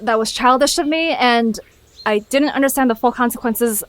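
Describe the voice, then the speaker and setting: A woman's voice: fairly high pitch, moderate pace, lightly acted. A woman playing an earnest, anxious, inquisitive young woman in an audio drama, with a hesitant manner. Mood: apologetic.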